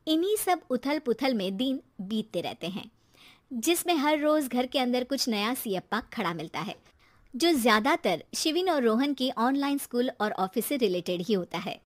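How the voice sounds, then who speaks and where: A woman's voice: on the high side, expressive, normal speed. A woman narrating a scripted story as its storyteller.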